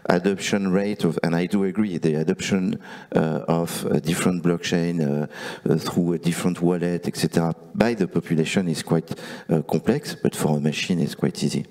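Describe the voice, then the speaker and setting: A man on a conference panel, halting and full of restarts, speaking into a handheld mic. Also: deep voice, fairly even intonation, darkish tone. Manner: halting, many fillers and restarts. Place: conference stage.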